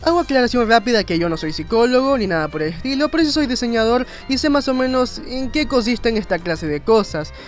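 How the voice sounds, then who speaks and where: A man's voice: extremely high pitch, very animated, fairly quick, hardly any pauses. A man narrates an informal explainer. He rambles conversationally and drops in self-mocking asides. Faint music plays behind his narration. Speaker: video narrator and graphic designer.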